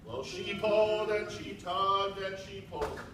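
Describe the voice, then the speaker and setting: A man's voice: high voice, a little slow. An American man narrating a storybook to children with theatrical, emphatic delivery. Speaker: narrator and presenter, school staff. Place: large school multipurpose room, indoors. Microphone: distant camera. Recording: echoey school hall from far off, noisy.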